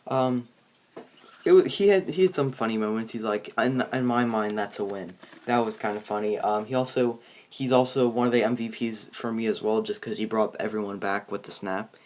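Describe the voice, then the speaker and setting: A man with a fairly low voice hosts a casual movie review conversation. He speaks in a halting way, breaking off mid-sentence and starting again as he works out his opinions. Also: a little mellow. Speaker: host of a movie review show. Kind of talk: casual chat, film review.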